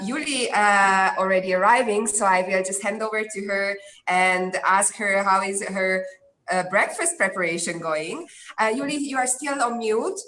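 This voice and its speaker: A woman hosting an online event, thanking speakers and handing over to the next guest in a friendly, brisk way. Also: medium pitch, bright, forceful tone, echoey room, rough recording. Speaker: host.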